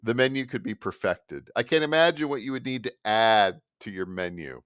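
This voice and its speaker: A man's voice: fairly low pitch, normal speed, clean tone, no echo, clean studio sound. A middle-aged man with a Midwestern American accent, hosting a solo podcast and ranting in a rambling, sarcastic way, often cutting himself off and restarting. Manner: rambling, animated, self-interrupting, sarcastic. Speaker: podcast host. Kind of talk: solo rant.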